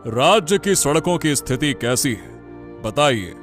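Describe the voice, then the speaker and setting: A man's voice: a little high, lively intonation, measured pace, a bit bright, soft background music. A man voicing a dutiful, self-important king in a cartoon story, with a regal, commanding manner. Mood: questioning. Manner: regal, commanding, repeats himself. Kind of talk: Hindi cartoon story voice acting.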